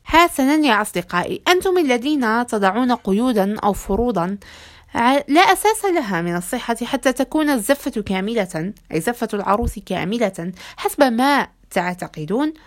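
A woman hosting a podcast, addressing listeners in formal Arabic with a measured, rhetorical delivery and a slightly hoarse voice. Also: fairly high pitch, very animated. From Algeria. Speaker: podcast host.

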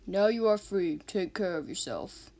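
A woman's voice: fairly low pitch, animated, unhurried, a little mellow. A woman playing a folksy, doting police officer in an amateur radio drama. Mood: helpful.

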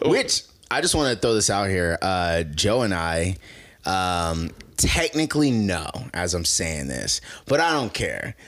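A young man hosts a casual podcast, trading slangy banter and breaking into laughter often. His pitch leaps around animatedly from line to line. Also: sing-song, measured pace, fairly bright tone. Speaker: podcast host. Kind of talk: casual chat.

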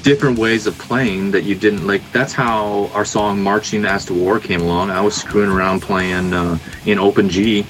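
A man's voice: on the low side, steady tone, normal speed, hardly any pauses, fairly bright tone, poor audio. A man who plays in a rock band, chatting casually about music as a podcast guest.

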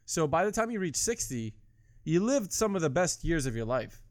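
Animated young man, higher-pitched, blunt. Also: moderate pace, dry recording, clean studio sound. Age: 20s. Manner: blunt, argumentative, profane. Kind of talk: casual banter among friends.

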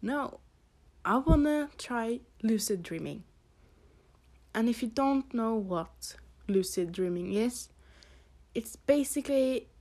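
A young woman from Norway with a Norwegian accent, hosting a casual podcast, rambling in a halting way with fillers and soft laughs. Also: medium pitch. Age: teens or young adult. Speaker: podcast host.

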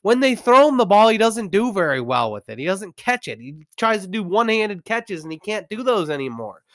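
A man with a slightly high voice and a stuffy nose from a cold rants through a football recap. He stumbles over his words and restarts often, but he never lets go of his opinions. His voice is close and dry, with no room sound around it. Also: very animated, brisk, fairly bright tone, dry recording. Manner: opinionated, rambling, frequent restarts. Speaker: sports commentator. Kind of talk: ranting sports recap.

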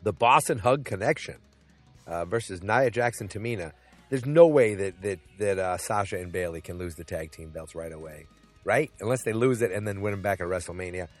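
A man hosting his own podcast, rambling through picks with jokes, asides and lots of filler words. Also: deep voice. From New England. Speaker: podcast host. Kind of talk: casual solo wrestling podcast. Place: Boston.

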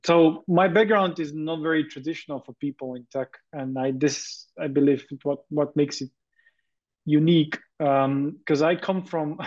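A man's voice: mid-range voice, a little mellow. Man on a muffled line. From Bulgaria. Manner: hesitant, many fillers, earnest. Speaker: guest entrepreneur. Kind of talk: podcast interview.

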